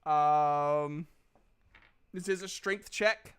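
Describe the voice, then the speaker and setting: A man's voice: a little high, drawn-out words, fairly bright tone, clean tone. A man narrating and refereeing a tabletop fantasy game as game master in an informal, casually sweary way.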